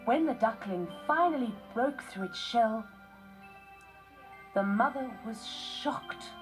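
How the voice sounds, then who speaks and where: A woman's voice: a little high, animated, slow, rough recording. Woman reading a children's story, music behind. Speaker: storybook narrator. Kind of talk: scripted children's story narration.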